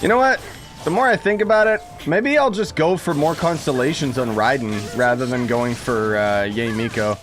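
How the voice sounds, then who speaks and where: A man's voice: medium pitch, moderate pace. A young man commenting on a video game as he plays, joking and sarcastic, often breaking into exclamations. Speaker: gaming streamer. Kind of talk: sarcastic let's-play commentary. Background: game music.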